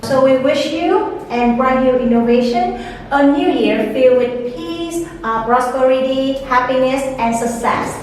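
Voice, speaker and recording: A woman with a Vietnamese accent hosting a formal interview in English, polite and measured. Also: high voice, a little mellow. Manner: polite, formal, frequent short acknowledgments. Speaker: interview host. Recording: echoey room, distant from mic, faint noise.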